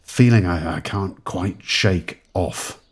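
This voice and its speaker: An actor playing a rattled, wry man in an audio drama, musing haltingly and swearing freely. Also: deep voice, slightly rough, exaggerated voice. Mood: musing, uneasy. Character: rattled man who has crossed between eras, wry, sweary. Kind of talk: modern audio drama monologue.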